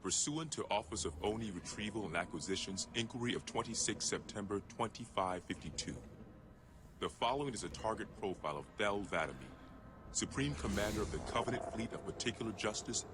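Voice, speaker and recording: Low, grave military intelligence officer, acted by a man. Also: expressive, noisy background, close to an everyday voice. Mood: grave, formal. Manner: measured, formal, grave. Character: stern military intelligence officer narrating an enemy dossier. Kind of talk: scripted dramatic briefing narration. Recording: game cutscene audio with music underneath. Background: music.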